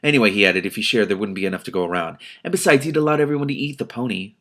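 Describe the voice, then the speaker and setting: A man with a fairly low voice reads a story aloud as the host. He moves between expressive narration and casual, exasperated commentary of his own. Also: very fast. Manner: expressive, exasperated asides with swearing. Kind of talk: audiobook-like reading with casual reactions.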